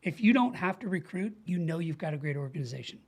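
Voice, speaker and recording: Higher-voiced middle-aged American man, earnest and informal. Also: animated, fast talker. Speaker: podcast guest, leadership coach. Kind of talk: casual conversational interview.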